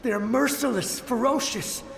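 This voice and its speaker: An actor playing the desperate ghost of a fallen soldier in a video game, with a strained, pleading, urgent delivery. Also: male voice, very high voice, normal speed, gravelly, a bit bright, slight background noise, heavily acted. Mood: desperate warning. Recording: echoing, long reverb over music.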